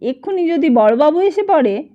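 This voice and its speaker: A woman storyteller plays a brash older madam from a novel, high and loud. She scolds and haggles in blunt colloquial speech, full of suspicious questions. The sound is slightly dull. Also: big pitch swings, moderate pace. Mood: brusque, bargaining.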